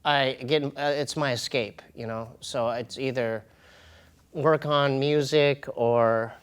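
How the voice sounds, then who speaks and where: A man's voice: mid-range voice, fairly even intonation, slow pace, clean tone. Candid, slangy man talking casually. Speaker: podcast guest, comedian and hip-hop artist. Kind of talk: casual conversational interview.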